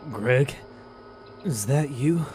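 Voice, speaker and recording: A man playing an injured, shaken scientist in an audio drama, recounting in a strained, hurried way, with faint background noise. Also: medium pitch, expressive, darkish tone. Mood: shaken, weak. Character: injured, shaken male scientist in lab coat.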